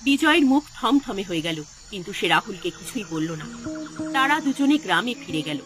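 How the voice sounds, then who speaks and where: A woman's voice: medium pitch, sing-song, normal speed, over music. A woman narrator reading a scripted horror story in a dramatic style.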